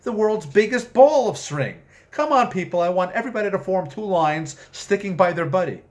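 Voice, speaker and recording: A man's voice: high-pitched, animated, moderate pace, voice pushed up. A man voicing a demon tour guide in a short story reading, announcing with exaggerated cheer like a bossy schoolteacher.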